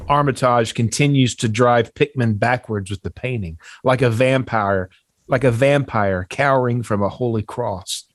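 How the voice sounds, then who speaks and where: A man with a mid-pitched voice narrates horror stage directions. The sound is dry and close, with no room around the voice. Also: normal speed, dry recording. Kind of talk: scripted narration of a horror screenplay.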